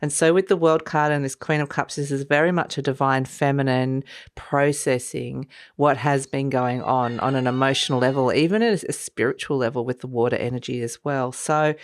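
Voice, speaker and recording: Low-voiced woman, croaky with a cold. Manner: gentle, meandering, laughs softly between thoughts. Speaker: tarot reader. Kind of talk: casual spoken tarot reading.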